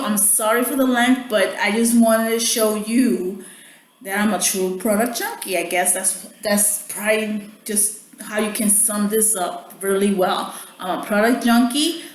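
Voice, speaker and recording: Chatty woman in an echoey room. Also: a little high. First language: Spanish. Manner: chatty, keeps checking in with "okay?". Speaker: beauty vlogger. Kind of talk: casual product haul chat. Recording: echoey room, faint background hum.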